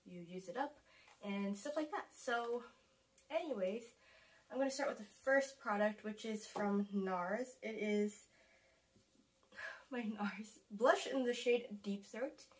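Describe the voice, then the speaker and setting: A woman's voice: mid-range voice, takes it slowly. Congested young woman chatting to camera. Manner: chatty, rambling, self-correcting, laughs at herself. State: congested from a cold. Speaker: beauty vlogger. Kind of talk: casual to-camera chat.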